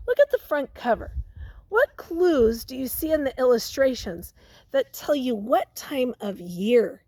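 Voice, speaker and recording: A woman's voice: sits high, very animated, darkish tone, no echo. A woman teacher reading a picture book aloud to young children, stopping to ask them questions and prompt them. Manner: pauses to question and prompt listeners.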